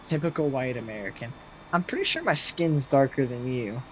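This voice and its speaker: A young man in his late teens with a loud, projecting voice, rambling casually to his viewers while he games and answers their messages. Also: mid-range voice, lively intonation, normal speed, a little hiss or hum. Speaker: streamer. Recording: slightly muffled, faint game music behind.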